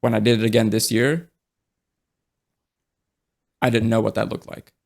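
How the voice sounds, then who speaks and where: A young man in casual podcast conversation, talking in a relaxed, rambling way with many fillers and restarts. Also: on the low side, moderate pace, creaky.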